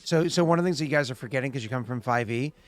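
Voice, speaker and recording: A man's voice: medium pitch, racing. A man in his late thirties, the game master, chatting and explaining rules at length with many restarts. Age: late 30s. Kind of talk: casual chat and game narration.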